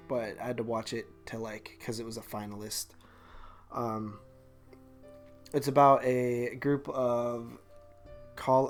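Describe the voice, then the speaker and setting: Male podcast co-host, middling pitch, casual halting movie talk, light guitar underneath. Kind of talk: casual film review chat.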